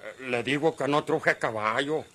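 A man's voice: medium pitch, unhurried, fairly bright tone, natural voice. An actor playing a nervous, evasive countryman with a hesitant manner and a rural northern Mexican accent in an old radio serial. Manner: hesitant, stammering. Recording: echoey, distant, very noisy radio recording.

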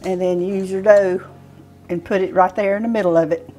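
Home cooking host: woman, sixties, Southern US accent, mid-range pitch, informal step-by-step delivery on a lapel mic. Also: fairly even intonation, brisk, music in the background. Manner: folksy, explaining step by step while working. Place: home kitchen, indoors. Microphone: clip-on lapel mic.

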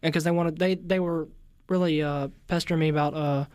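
Teen male singer, raspy, slightly high, dry studio sound, shy hesitant answers. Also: steady tone, a little mellow, no room sound. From Mississippi-Louisiana border. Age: teens. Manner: polite, halting, many fillers. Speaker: interview guest, singer. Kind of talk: casual interview. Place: radio studio. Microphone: studio mic with headphones.

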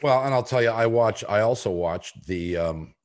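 An older man hosting a sports podcast from a home room, giving opinionated talk in a deliberate, halting way with pointed pauses. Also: on the low side. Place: home room on a video call.

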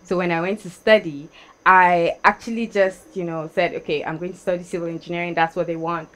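A young woman from Nigeria talks casually about her life as a dancer. Her voice sits a little low, and she laughs often as she chats. She is recorded in a studio with a small headset mic. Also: brisk, poor audio. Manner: laughs often, chatty and lively. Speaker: guest, dancer. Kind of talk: casual interview chat. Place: decorated studio set.

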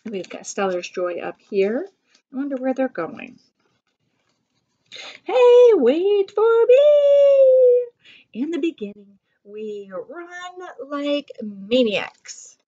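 A woman's voice: a little high, lively intonation, slow, darkish tone. A middle-aged woman reads a picture book aloud, adding explanatory asides and pointing things out for children. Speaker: read-aloud teacher. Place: small room at home. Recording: dull lo-fi webcam sound.

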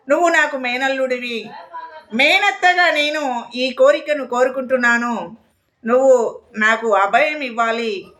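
A woman's voice: high voice, a bit bright. A woman voicing an anguished royal mother who pleads and laments over her son in a storytelling. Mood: pleading.

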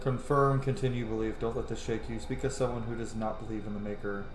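A man's voice: fairly low pitch, fairly even intonation, fast talker. A man in his thirties giving casual let's play commentary, thinking aloud as he plays a role-playing game. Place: indoor home room. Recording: echoey room, game music behind.

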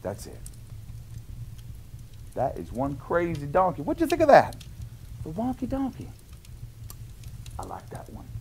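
Man playing a wildly animated, folksy lumberjack storyteller. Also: on the high side, measured pace, vocal fry, long pauses, a little hiss or hum, full character voice. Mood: playful, folksy. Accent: Canadian. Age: middle-aged. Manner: playful, exaggerated, sing-song rhythms with quiet chuckled asides. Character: jolly, folksy lumberjack storyteller reading to children. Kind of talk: animated children's picture book reading. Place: small indoor cabin-style set.

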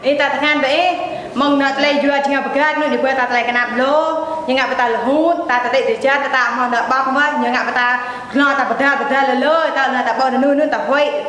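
A young female schoolteacher, played by a woman, gives a lesson in an educational drama. Her voice is high and rings through a very echoey classroom, with some noise behind it. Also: fairly even intonation, quick pace, fairly bright tone. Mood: instructive. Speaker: teacher.

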